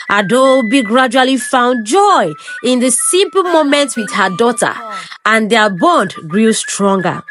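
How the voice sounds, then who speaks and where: A woman narrating a folk tale in a steady, scripted storytelling delivery. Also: a little high. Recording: clear music under the voice. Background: background music.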